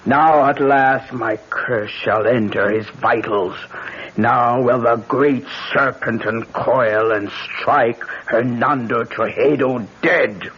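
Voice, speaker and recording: An actor playing a fierce, vengeful older voodoo practitioner in an old-time radio drama, speaking in a deliberate, incantatory way on a noisy recording. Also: medium pitch, husky, dull audio. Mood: bitter, vengeful.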